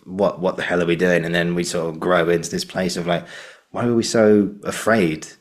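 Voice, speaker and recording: A man with a low-pitched voice hosts a podcast interview. He talks in a loose, rambling and encouraging manner, effusive towards his guest. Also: fairly even intonation, brisk. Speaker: podcast host. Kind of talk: conversational interviewing.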